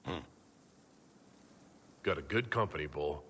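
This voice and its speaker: An actor playing a calm, diplomatic leader with a husky voice and an even temper in a fantasy video game. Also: male voice, a bass voice, slow, slight background noise, full character voice. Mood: curious, polite. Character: composed, diplomatic male Inquisition leader. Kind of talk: video game dramatic acting.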